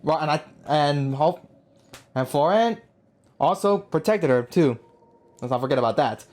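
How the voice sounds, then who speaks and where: Casting: female let's-play host, lowish voice, slangy exclamations, very animated intonation, game music faintly behind. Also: a little slow. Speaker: gaming video host. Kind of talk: casual let's-play reaction commentary.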